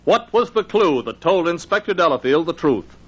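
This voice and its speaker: A man's voice: a little high, lively intonation, normal speed, slightly rough. A man announcing an old-time radio programme dramatically, addressing the listeners. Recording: muffled old radio recording. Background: organ music.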